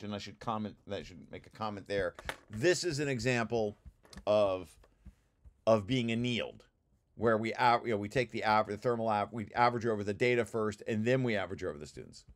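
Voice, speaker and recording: A man giving an informal technical walkthrough, explaining as he reads and often stopping to correct himself. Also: fairly low pitch. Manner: halting, self-correcting, explanatory. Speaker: presenter reading through own technical paper.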